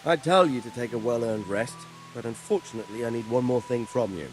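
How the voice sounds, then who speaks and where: A man playing a brisk commanding lord. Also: medium pitch, soft background music, close to an everyday voice. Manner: authoritative, brisk. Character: commanding nobleman, the squire's lord. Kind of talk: video game cutscene acting.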